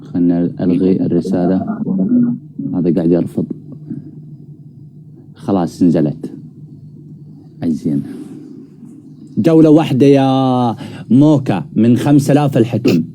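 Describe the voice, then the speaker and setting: A man's voice: low-pitched, moderate pace, long pauses, warm tone, a little hiss or hum. A man bantering casually as a live stream host, teasing and prodding with questions.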